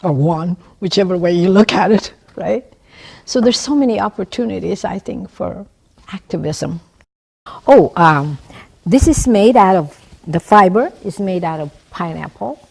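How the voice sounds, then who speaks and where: A woman's voice: on the low side, lively intonation, unhurried, vocal fry, a little mellow, slightly rough. A woman in her sixties with a Filipino accent, a nurse and community activist telling her personal story in an interview, earnest and emphatic, often tagging "right?". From Philippines (La Union, Ilocos region). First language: Ilocano. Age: 60s.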